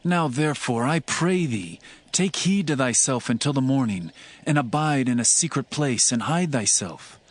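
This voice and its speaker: An actor playing a loyal, earnest young prince in a dramatized scripture reading, speaking in measured archaic diction. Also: male voice, medium pitch, expressive, lightly acted. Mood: urgent, caring.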